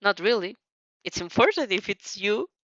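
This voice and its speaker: A woman's voice: medium pitch, animated, normal speed, a bit bright, no room sound, dull audio, close to an everyday voice. A woman voicing a teasing, playful teenage boy with a drawling manner in an anime fan story. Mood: smug.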